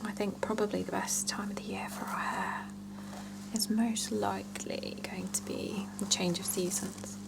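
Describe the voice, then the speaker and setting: A woman whispering softly in an ASMR roleplay as a salon scalp therapist, chatting and explaining gently and without hurry. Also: mid-range voice, fairly even intonation, measured pace, rough recording. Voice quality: soft breathy whisper with creaky edges.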